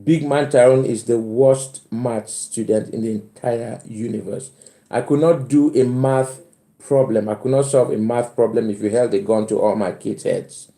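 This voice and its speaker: A middle-aged Cameroonian man hosts a casual live stream from an echoey room at home. His voice is mellow and relaxed at a medium pitch. He talks off the cuff to his viewers, often repeating phrases to drive them home. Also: normal speed. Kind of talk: casual live chat, reading out and answering comments. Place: bedroom at home. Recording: roomy indoor echo.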